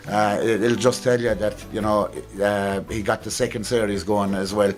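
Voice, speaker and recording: A man's voice: on the low side, little pitch movement, normal speed, soft background music. A man with an Irish accent giving casual interview answers as an amateur drama actor.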